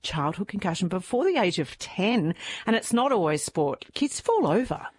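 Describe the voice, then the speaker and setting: A woman's voice: medium pitch, big pitch swings, normal speed, darkish tone, slightly rough. A woman with an Australian accent hosting a live talk radio show, chatty and welcoming as she runs the segment.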